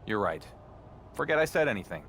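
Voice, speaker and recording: A man's voice: on the low side, sing-song, a little fast, a bit bright, in a large hall, a little hiss or hum. An actor playing a defensive, sarcastic wrestling champion in a video game, a man with a wry, casual way of talking. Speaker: wrestling champion and show co-host. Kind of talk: scripted video game cutscene acting.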